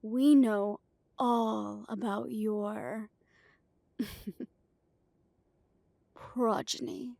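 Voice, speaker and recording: A woman playing a proud, commanding female vampire coven leader in an audio drama, speaking in a deliberate, imperious manner. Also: medium pitch, fairly even intonation, vocal fry, warm tone, no room sound. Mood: cold anger. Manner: slow, deliberate, imperious speech.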